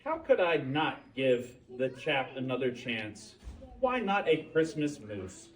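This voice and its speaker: Male storyteller for children's picture book reading, very lively intonation, interactive with listeners, picked up from a distance. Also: mid-range voice. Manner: pauses to ask the listeners questions. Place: indoors, library holiday open house.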